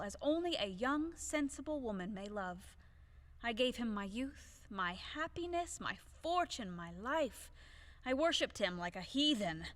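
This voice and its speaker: A woman's voice: high voice, a bit bright, heavily acted. An actress playing a proper, indignant young widowed lady in an audio play, formal, haughty and reproving. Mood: impassioned. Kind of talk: theatrical audio play acting. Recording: echoey hall-like reverb.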